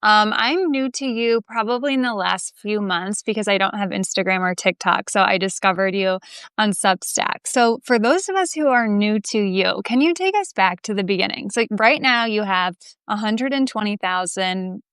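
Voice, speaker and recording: A woman's voice: medium pitch, moderate pace, a bit bright, clean tone, dry recording, studio quality. A woman hosting a live online interview, asking long friendly questions and laughing along with her guest. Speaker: interview host. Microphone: remote live call.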